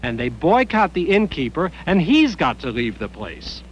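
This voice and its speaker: An actor playing a breezy, wisecracking American journalist, telling an anecdote in colloquial, animated style. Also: male voice, fairly high pitch, moderate pace, a little hiss or hum, low-quality recording. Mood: amused, casual. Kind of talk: acted documentary-style interview.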